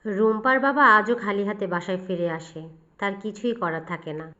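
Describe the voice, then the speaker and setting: A woman's voice: medium pitch, a little fast. Woman narrating a fairy-tale cartoon. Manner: steady, expressive storytelling. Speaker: storyteller narrator. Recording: dull, lo-fi recording.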